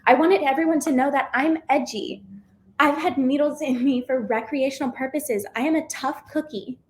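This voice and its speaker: Woman stand-up, high voice, deadpan, faint background noise. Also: moderate pace. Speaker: stand-up comedian. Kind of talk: stand-up set.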